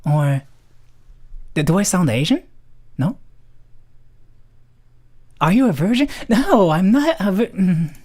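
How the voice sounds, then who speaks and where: A teenage boy putting on a high-pitched voice to show how he is expected to sound. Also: sing-song, slow pace, long pauses, exaggerated voice. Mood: demonstrating. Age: teens. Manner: exaggeratedly high and bright. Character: young man in a high-pitched put-on voice.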